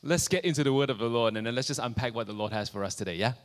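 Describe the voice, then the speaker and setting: A man's voice: medium pitch, racing. Man preaching in a reverberant hall. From Singapore. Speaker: visiting preacher. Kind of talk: sermon delivered in short phrases for interpretation.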